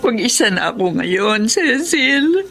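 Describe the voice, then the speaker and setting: A bereaved middle-aged Filipino boss in a radio drama. She speaks in a high voice with an emotional, dramatic delivery. There is faint music underneath. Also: very animated, takes it slowly, a bit bright, full character voice. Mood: grieving. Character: grieving, emotional middle-aged woman boss and adoptive mother.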